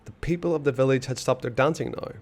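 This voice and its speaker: A man's voice: mid-range voice, quick pace. Man reading a story aloud expressively. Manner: expressive, hushed on dark passages. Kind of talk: reading a children's novel aloud.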